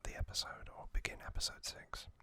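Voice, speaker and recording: An ASMR host speaking in a breathy, unvoiced whisper, recapping trivia in a hushed, halting way with pauses. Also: no echo.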